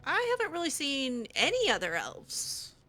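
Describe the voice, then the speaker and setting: A woman's voice: high-pitched, wildly swooping pitch, normal speed, sharp tone. A woman playing a role-play video game, talking casually in character with a playful, sassy, assertive manner. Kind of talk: casual in-character gameplay commentary.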